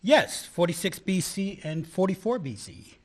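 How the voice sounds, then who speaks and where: A man's voice: high-pitched, expressive, moderate pace. A man playing an obsessive young male graduate student who laughs at his own jokes, in an amateur radio play. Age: young adult.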